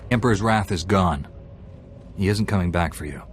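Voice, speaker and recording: An actor playing a ruthless, self-righteous warrior knight in a video game, with a firm, commanding delivery. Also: male voice, low voice, moderate pace, slight background noise, close to an everyday voice. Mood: righteous, cold. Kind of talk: video game hero acting. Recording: game music under the voice.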